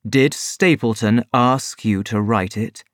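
A reader voicing a composed male detective who explains and gives orders in measured tones. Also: on the low side, no echo, studio quality. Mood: calm. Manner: calm, measured, authoritative. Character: calm, commanding male detective.

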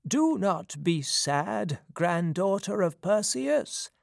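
Audiobook role: aged male seer played by a narrator, gentle, solemn, raised pitch, unhurried prophecy. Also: very animated, vocal fry, no room sound, clean studio sound, full character voice. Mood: solemn, reassuring. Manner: solemn, measured prophetic delivery.

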